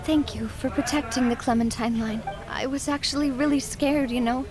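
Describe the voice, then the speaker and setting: Game role: vulnerable young heroine for a woman, high voice, earnest and halting, music underneath. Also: steady tone, natural voice. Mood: relieved, moved. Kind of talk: English game voice acting.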